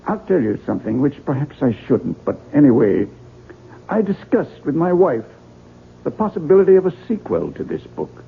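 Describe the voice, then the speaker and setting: An actor playing an avuncular older publisher in a 1970s radio drama, genteel and patronising, with a husky, gravelly voice. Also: male voice, fairly high pitch, big pitch swings, moderate pace, narrow, tinny audio, slight background noise. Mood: reproachful. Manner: genteel, patronising, theatrically emphatic. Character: avuncular, reasonable older male publisher, mildly exasperated.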